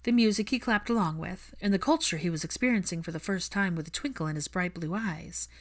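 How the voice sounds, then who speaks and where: A woman's voice: on the low side, fairly quick. A woman reading fiction aloud as an audiobook narrator, in a scripted storytelling style.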